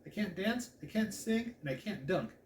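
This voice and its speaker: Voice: medium pitch, animated, normal speed, a little mellow, slight background noise, natural voice. A man voicing a friendly, reassuring girl at summer camp in a storybook, with a breezy, joking manner. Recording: echoey room.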